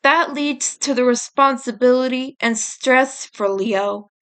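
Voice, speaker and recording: A woman's voice: sits high, slow, a bit bright, clear, resonant tone. A woman reading the part of a blunt, guilt-ridden teenage mutant turtle boy in a story, awkward about feelings. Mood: earnest.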